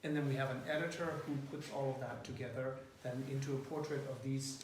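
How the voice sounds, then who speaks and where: Brief: hesitant male interviewer, middle pitch, distant mic in a very reverberant room. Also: steady tone, a little fast. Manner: hesitant, many fillers and restarts. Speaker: interviewer leading a student group. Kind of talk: casual meeting introduction. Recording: echoey room, far from the mic, faint noise.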